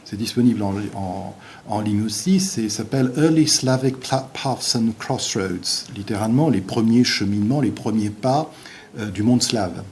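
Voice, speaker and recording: A male visiting university lecturer, a native Portuguese speaker, giving an academic lecture in a halting way with many restarts and fillers. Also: on the low side, a little mellow, slight background noise.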